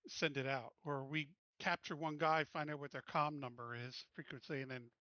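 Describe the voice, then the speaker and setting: A man's voice: fairly high pitch, steady tone, normal speed, bright, forceful tone, no echo, lo-fi sound. A man playing a tabletop game, earnestly reasoning aloud in casual group chat.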